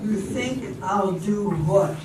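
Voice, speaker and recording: An amateur actress playing a sassy, sceptical young dancer in a radio drama. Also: female voice, medium pitch, slow pace, lightly acted. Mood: sassy, brushing off. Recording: very echoey, noisy hall, distant mic.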